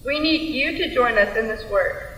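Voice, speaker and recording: A woman's voice: fairly high pitch, normal speed, fairly bright tone. A young woman student performer reciting a scripted readers theater piece. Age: young adult. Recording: echoey hall, distant mic.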